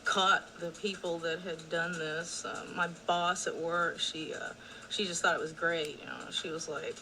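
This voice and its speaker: A woman from Tennessee with a bright voice tells an anecdote in a documentary interview. She sounds animated and exasperated, with faint noise behind her. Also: lowish voice, measured pace, low-quality recording. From Tennessee, USA. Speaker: case supporter interviewed in a documentary.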